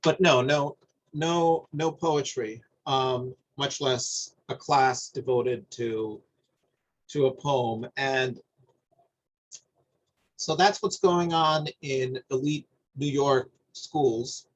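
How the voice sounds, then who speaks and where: A middle-aged man from New Jersey talking on a video call, halting and repeating words while he searches for them. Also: medium pitch, steady tone. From New Jersey, USA. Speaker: interviewer. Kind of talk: thoughtful conversation on a video call. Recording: dull lo-fi video call audio.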